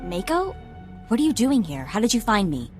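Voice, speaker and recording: A woman's voice: medium pitch, big pitch swings, moderate pace, faint music behind, close to an everyday voice. An actress playing a scheming, self-obsessed young female criminal in a video game, with an intense, dramatic delivery. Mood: startled.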